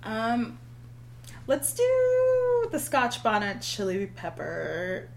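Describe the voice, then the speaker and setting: A woman guest on a casual podcast, soft-spoken and relaxed, laughing often, with a faint hum behind her. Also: on the high side, drawn-out words, creaky. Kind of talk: casual chat.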